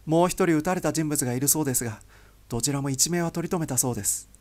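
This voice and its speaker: A man's voice: a little high, quick pace, darkish tone, voice pushed up, close to an everyday voice. A man voicing a shaken male doctor who reports news urgently. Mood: alarmed.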